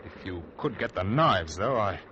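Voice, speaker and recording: A man playing a stern, suspicious ship's captain in an old-time radio drama, with measured, sometimes halting delivery. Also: on the low side, animated, close to an everyday voice. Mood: suspicious, torn. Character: wary, stern male ship's captain, grudgingly bargaining. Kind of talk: old-time radio drama acting and first-person narration. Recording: noisy, muffled vintage radio recording.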